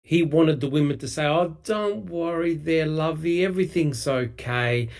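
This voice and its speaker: Man, somewhat high voice, heated, emphatic, swears, casual discussion. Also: moderate pace, vocal fry, darkish tone. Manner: heated, emphatic, swears, mocks with acted-out quotes. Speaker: podcast co-host. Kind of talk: casual true-crime discussion.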